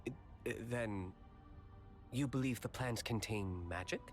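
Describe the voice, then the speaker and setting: An actor playing a scheming, deferential royal retainer in a video game, with theatrical, formal phrasing. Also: male voice, lowish voice, takes it slowly, soft background music. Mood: troubled.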